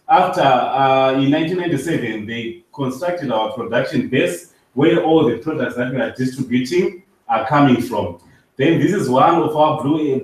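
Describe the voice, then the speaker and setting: Man from Zimbabwe, halting sales presenter, distant mic in a reverberant meeting room. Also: on the high side. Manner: halting, with drawn-out fillers and restarts. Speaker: business presenter. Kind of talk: sales presentation to a live audience. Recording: echoey hall, mic far off.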